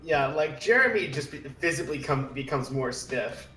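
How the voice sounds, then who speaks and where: A man's voice: a little high, lively intonation, moderate pace, bright, forceful tone, soft background music. A man playing a tabletop roleplaying game, casually narrating what his character does, recorded on a roomy, distant microphone.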